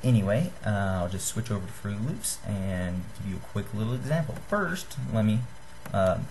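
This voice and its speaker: A young man giving a casual how-to demonstration to a webcam in a small room, halting and apologetic. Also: fairly low pitch, expressive, a little mellow, a little hiss or hum. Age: young adult. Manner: halting, apologetic, self-deprecating. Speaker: hobbyist giving a homemade gadget tutorial. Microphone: webcam or computer microphone at desk distance.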